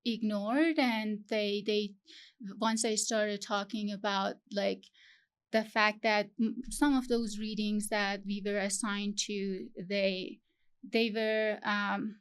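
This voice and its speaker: A young woman from Iran, talking as an interview guest, halting and searching for her words with many fillers. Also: mid-range voice, little pitch movement, smooth. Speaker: guest, PhD student. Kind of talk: interview conversation.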